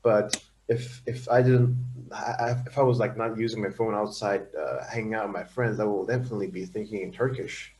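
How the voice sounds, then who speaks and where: Young male student, lowish, rambling, restarts often. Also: steady tone, brisk, darkish tone, a little hiss or hum, low-quality recording. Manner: rambling, restarting sentences, uses "um" and "like". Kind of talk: classroom discussion.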